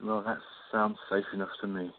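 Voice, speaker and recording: A man's voice: fairly low pitch, flat delivery, fairly quick, lightly acted. A man playing a joking, boyish friend who is awkward and nervous in love, in an amateur radio play. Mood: awkward, light. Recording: thin phone-like line.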